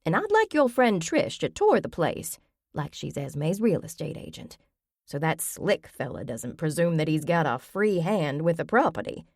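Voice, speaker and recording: A woman's voice: low-pitched, very animated, moderate pace, darkish tone, no room sound, studio quality, heavily acted. A woman voicing a stubborn, feisty old grandmother with an emphatic, folksy way of talking in an audiobook. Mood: insistent. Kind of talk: audiobook character voice.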